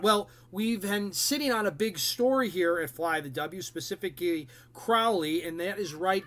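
A man reading the intro of a sports podcast with a clearly high voice. His delivery is upbeat, in the manner of a radio announcer. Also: a bit bright. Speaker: podcast co-host.

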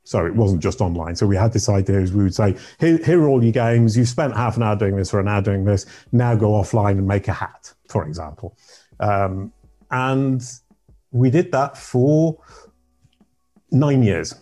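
A middle-aged man from Yorkshire with a deep voice, telling his life story as a podcast guest in a rambling, self-correcting way. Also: animated, moderate pace, mellow, soft background music. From Yorkshire, England. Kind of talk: casual interview storytelling.

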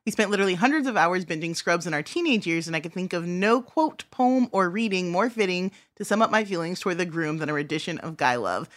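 A woman's voice: lowish voice, lively intonation, quick pace, hardly any pauses, dry recording. Woman, close and dry-sounding. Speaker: podcast producer reading listener mail. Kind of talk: casual talk and letter reading.

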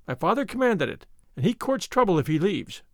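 A man's voice: high voice, big pitch swings, a little fast, no room sound, clean studio sound. A man voicing a proper young señorita in an audiobook, prim and proud, protesting with alarm and indignation. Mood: timid, uneasy.

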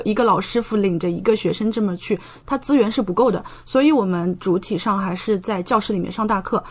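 A woman in her thirties from China chatting casually as an interview guest, telling stories vividly and often checking in with the listener. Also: medium pitch. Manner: chatty, animated storyteller who re-enacts speech and checks in with the listener. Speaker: podcast guest, medical doctoral student.

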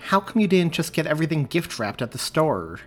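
A man's voice: a little high, sing-song, fairly quick, faint music behind, voice pushed up. A man reading the lines of a sardonic, impatient young woman in his own voice. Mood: dry. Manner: dry, eye-rolling.

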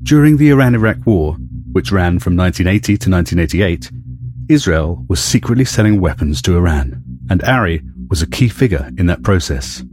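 A man hosting and narrating an investigative podcast in a measured, serious tone. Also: deep voice. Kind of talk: scripted documentary narration. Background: soft music.

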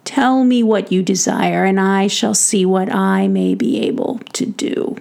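A woman voicing a big, kindly but formidable male giant king in a storybook, with slow, courtly, musing speech. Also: mid-range voice, very animated, creaky, darkish tone. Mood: obliging. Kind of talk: bedtime storybook character voice.

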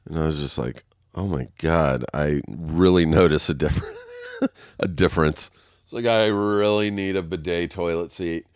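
A man's voice: low voice, normal speed, smooth, no room sound, clean studio sound. A man hosting a casual solo podcast, rambling and swearing, laughing at himself, sounding congested and nasal. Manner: rambling, profane, self-mocking, laughs often. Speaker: podcast host. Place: waiting on a set, recording alone.

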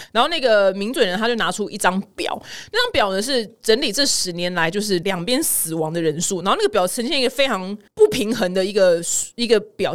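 A woman with a Taiwanese Mandarin accent giving casual, chatty news commentary as a podcast host, acting out the people she quotes. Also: mid-range voice. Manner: chatty, animated retelling with acted-out quotes.

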